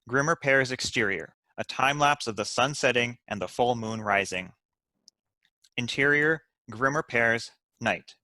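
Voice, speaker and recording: A man's voice: mid-range voice, sharp tone, no echo. A man reading stage directions as scripted narration at a comedy table read.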